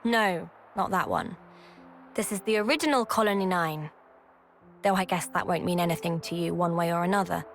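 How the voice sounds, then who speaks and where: A woman's voice: on the low side, moderate pace, gravelly. An actress playing a confident, easygoing young woman in a video game cutscene. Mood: matter-of-fact. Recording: music behind the voice.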